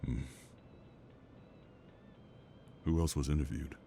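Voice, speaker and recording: Calm devoted partner and dad, audio drama, super deep dark voice, reassuring. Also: male voice, quick pace, vocal fry, slight background noise. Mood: concerned. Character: steady, devoted husband-like partner and secret father. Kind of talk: intimate audio drama acting.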